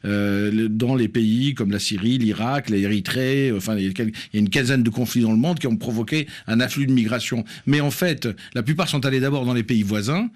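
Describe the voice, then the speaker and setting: A man's voice: mid-range voice, brisk, hardly any pauses. A man speaking as an academic guest in an interview, explaining analytically and repeating words for emphasis.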